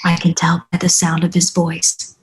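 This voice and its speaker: A woman plays a bossy female surveillance agent in a script read. She teases and instructs in a deadpan way, her voice a little low. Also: moderate pace, lightly acted. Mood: deadpan teasing. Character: teasing, bossy agent coaching a colleague over earpiece. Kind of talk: screenplay table read acting.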